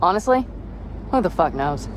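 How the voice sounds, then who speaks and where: An actress playing a tough young woman mercenary in a video game, brisk, practical and wry. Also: lowish voice, very animated, rough recording. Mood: focused, wry. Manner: brisk, practical, wry, casually foul-mouthed. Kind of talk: video game cutscene dialogue, naturalistic. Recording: clear vehicle and ambience noise behind.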